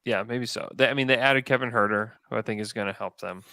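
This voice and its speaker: A man's voice: mid-range voice, quick pace, creaky, bright, forceful tone, no room sound, studio quality. A man hosting a sports podcast, arguing his points emphatically in a casual debate.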